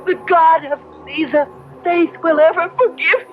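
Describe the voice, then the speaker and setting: A woman's voice: extremely high pitch, fairly even intonation, measured pace. An actress playing a pleading but steadfast doomed woman in an old-time radio drama. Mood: terrified. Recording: muffled old radio recording with music.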